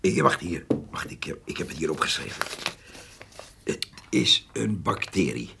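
A man's voice: low-pitched, sing-song, measured pace, creaky, background noise, exaggerated voice. An actor in a radio drama playing a chatty, long-winded old village handyman who hesitates and loves to gossip. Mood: hesitant, concerned. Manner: long-winded, hesitant, chuckling rural storyteller.

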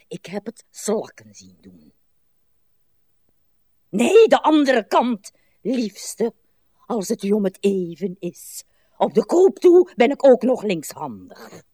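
An actress playing a weary, self-pitying, sharp-tongued old woman in a radio play, theatrical and sardonic. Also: mid-range voice, very animated, slow pace, long pauses, breathy edge, dry recording, studio quality, heavily acted. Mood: grumbling. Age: older.

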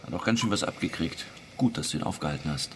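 A man's voice: very deep voice, expressive, quick pace, slightly rough, heavily acted. An actor playing a smug, evasive security policeman with a glib, patronising manner in naturalistic radio drama dialogue. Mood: evasive, casual. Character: smug, evasive male state security policeman, falsely jovial.

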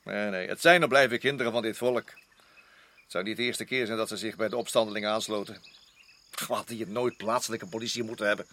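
An actor the playing a worried, hard-nosed garrison officer in a radio drama, urgent and emphatic, often repeating himself. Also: male voice, lowish voice, fairly even intonation, fairly quick, bright, forceful tone. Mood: grave.

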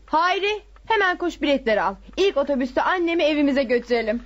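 A sharp-tongued wife who runs the family in a radio play. She talks fast in a fairly high voice, ordering and needling. The recording is dull. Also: lively intonation, slight background noise. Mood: brisk, needling. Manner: commanding, needling. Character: bossy, sharp-tongued married daughter, possessive of her mother. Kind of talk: radio drama acting.

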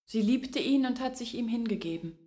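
A woman's voice: a little high, moderate pace, mellow, close to an everyday voice. An actress playing a grave village woman from a disgraced family in a German radio drama, speaking in pointed, emphatic phrases.

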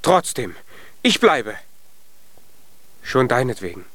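An actor playing a small, earnest, naive young giant in a German children's radio play. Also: male voice, mid-range voice, sing-song, slow, fairly bright tone. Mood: shy, tender.

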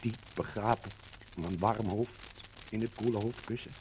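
A man's voice: fairly low pitch, steady tone, a little slow, darkish tone, lightly acted. An actor playing a grateful man voicing his diary in a 1950s radio docudrama, solemn and emotional, on an old crackling recording. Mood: deeply grateful.

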